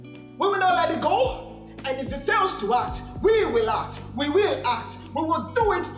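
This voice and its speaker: An actor playing a civil rights preacher giving a grieving, impassioned eulogy in a dramatic, rhetorical film-monologue style. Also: male voice, very high voice, sing-song, a little slow, echoey room, soft background music, heavily acted. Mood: defiant, fiery. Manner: rhetorical, building from hushed solemnity to fiery cries.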